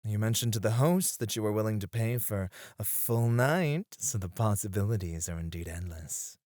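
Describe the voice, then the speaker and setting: A man playing a suave, flirtatious male escort in an ASMR roleplay, teasing and courteous, with drawn-out phrasing. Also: lowish voice, darkish tone, dry recording, studio quality, natural voice. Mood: smooth, businesslike teasing. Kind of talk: ASMR roleplay, addressed to one listener.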